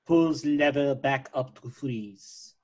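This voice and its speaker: A man serves as narrator, reading out stage directions. His pitch is in the ordinary middle range for a man. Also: slow pace, a little mellow.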